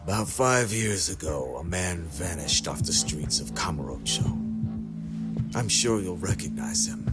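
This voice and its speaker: An actor playing a middle-aged government security official in a game cutscene, polite and formal with quiet insinuation. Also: male voice, low voice, expressive, a little slow. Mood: veiled menace. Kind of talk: video game cutscene acting. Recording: dramatic music behind the voice. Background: music.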